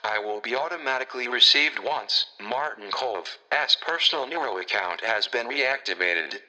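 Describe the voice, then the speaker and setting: Man as very sharp, bright automated phone system. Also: medium pitch, animated, rough recording. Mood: neutral, official. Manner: formal, measured, impersonal. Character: impersonal automated male phone message system. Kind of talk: scripted automated announcement in audio drama.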